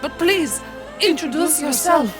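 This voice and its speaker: A woman's voice: sits high, lively intonation, measured pace, over music. A woman voicing a solemn, wary mystical figure in a fantasy tabletop game.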